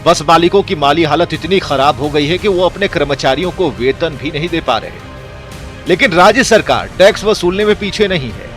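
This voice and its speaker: High-pitched man narrating news reports. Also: lively intonation, normal speed, a bit bright, faint music behind. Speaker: news voice-over presenter.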